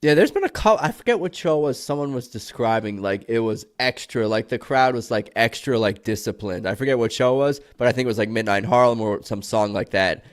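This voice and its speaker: A man's voice: mid-range voice, lively intonation, fairly quick, hardly any pauses. A man hosting a podcast, chatting casually and rambling with many fillers. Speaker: podcast host.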